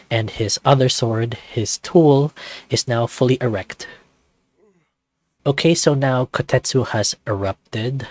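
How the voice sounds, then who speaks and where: A man's voice: medium pitch, no echo. Brief: casual male YouTuber reading a game aloud, hesitant fillers, dry room. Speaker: YouTube visual novel reader.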